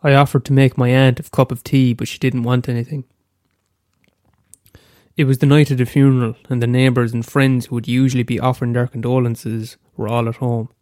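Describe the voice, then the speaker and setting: Irish man, young, stuffy head cold, smooth resonant voice, dry close sound, reading own story. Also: medium pitch, little pitch movement, fairly quick, warm tone, no echo. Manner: casual, hesitant with fillers in the intro. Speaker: amateur storyteller. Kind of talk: reading his own short story aloud.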